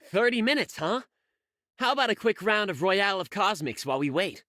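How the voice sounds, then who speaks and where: A man's voice: sits high, sing-song, a little fast, pushed and bright, dry recording, clean studio sound, full character voice. A man voicing a keen, casual young spaceship engineer in an anime game. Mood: playful, offhand. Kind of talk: English anime game voice acting.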